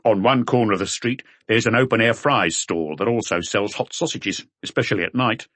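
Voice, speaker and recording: A man's voice: on the low side, a little fast, fairly bright tone, no room sound. A man voicing a junior police detective in an audiobook, reporting details in a matter-of-fact way. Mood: dutiful reporting.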